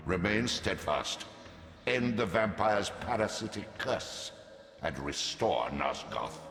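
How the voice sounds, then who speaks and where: A man's voice: on the low side, animated, takes it slowly, gravelly, full character voice. A man voicing an ancient, gigantic, manipulative god for a video game, speaking in grandiose, solemn, commanding pronouncements. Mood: solemn, imperious. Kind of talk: theatrical video game voice acting. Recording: cavernous echo, long reverb, music behind.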